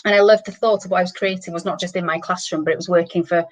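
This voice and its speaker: A woman's voice: lowish voice, fairly even intonation, racing, highs cut off, slight background noise. Enthusiastic Welsh-accented woman. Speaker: managing director, guest. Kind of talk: casual online panel chat.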